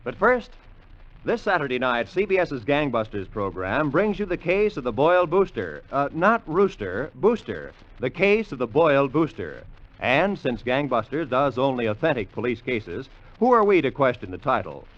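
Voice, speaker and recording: A man's voice: medium pitch, big pitch swings, moderate pace. A man with an American accent announcing a wry, playful promo in 1950s radio style. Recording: dull vintage radio recording.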